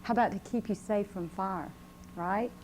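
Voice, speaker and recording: A woman's voice: medium pitch, normal speed, darkish tone, rough recording. A woman firefighter giving a simple safety talk to children, prompting them with questions, on an old VHS recording. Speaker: firefighter, fire safety educator. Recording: old VHS, faint room noise.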